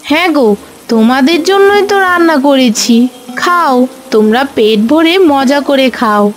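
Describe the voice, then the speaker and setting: A woman voicing a hopeful, practical village wife in an animated folk tale, coaxing and explaining. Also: high-pitched, normal speed, a little hiss or hum. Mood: welcoming.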